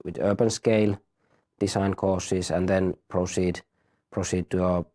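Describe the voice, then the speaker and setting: Halting man with a low, mellow voice. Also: flat delivery. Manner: halting, repeats words often. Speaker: university teacher. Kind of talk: explaining in an interview.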